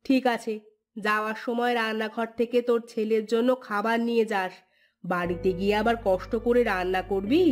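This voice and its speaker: A woman voicing a sharp-tongued landowner's wife in a cartoon, scolding with pointed, sarcastic questions. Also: on the high side, fairly even intonation, a little fast, soft background music, close to an everyday voice. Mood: concerned. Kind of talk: Bengali cartoon voice acting.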